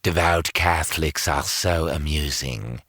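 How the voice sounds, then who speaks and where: A man's voice: a bass voice, a little slow, sharp tone, slightly rough, dry recording, clean studio sound, exaggerated voice. An actor playing a sneering, cynical male villain in an audio drama, with a mocking, condescending, theatrical manner. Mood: mocking contempt.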